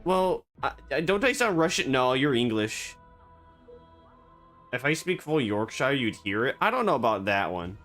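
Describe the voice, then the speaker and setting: A man streaming a game, rambling and joking in absurd deadpan banter. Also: mid-range voice, normal speed, faint music behind. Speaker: VTuber streamer. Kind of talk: casual gaming stream chatter.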